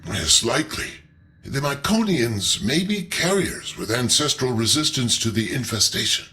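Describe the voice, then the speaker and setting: A man's voice: medium pitch, expressive, normal speed, bright, forceful tone, a little hiss or hum. A man playing a shrewd, eloquent scholarly strategist in a video game, speaking in formal, theatrical phrases. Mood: thoughtful, explanatory. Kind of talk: video game character acting.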